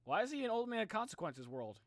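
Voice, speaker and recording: A man livestreaming in a casual, halting way, chatting informally to his viewers and muttering to himself. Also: high voice, big pitch swings, fairly bright tone, no echo. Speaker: live streamer reacting to game videos.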